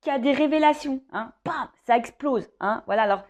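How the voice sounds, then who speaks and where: A woman with a slightly high voice hosts an informal card reading, speaking directly to the viewer. She improvises as she goes, pausing to think, repeating phrases and adding small conversational tags. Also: lo-fi sound. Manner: hesitant, thinking aloud, with frequent "hein" tags and repeated phrases. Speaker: tarot card reader hosting a love horoscope reading. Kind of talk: informal improvised tarot guidance addressed to the viewer.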